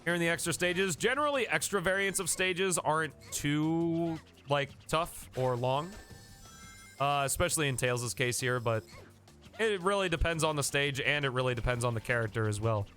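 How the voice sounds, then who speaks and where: A man's voice: a little high, lively intonation, moderate pace, sharp tone, soft background music. A man live-streaming a game, chatting casually and thanking viewers by name, a bit sniffly from a coming cold.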